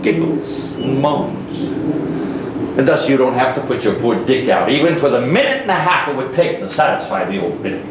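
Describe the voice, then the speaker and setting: A man's voice: mid-range voice, big pitch swings, normal speed, natural voice. An actor playing a sarcastic, menacing married middle-class man in a stage play, needling in a theatrical manner. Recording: echoey, distant, noisy live stage recording.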